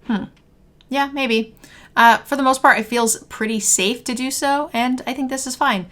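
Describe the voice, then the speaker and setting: Hoarse young woman hosting. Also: high voice, normal speed, fairly bright tone. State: hoarse, losing her voice. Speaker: host. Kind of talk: card game review chat. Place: home streaming room, indoors.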